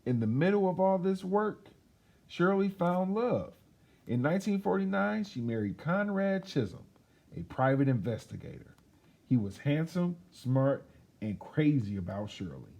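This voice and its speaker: A man reading a children's picture book aloud in an expressive, emphatic storytelling manner. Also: on the high side, a little slow, vocal fry. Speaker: children's read-aloud host.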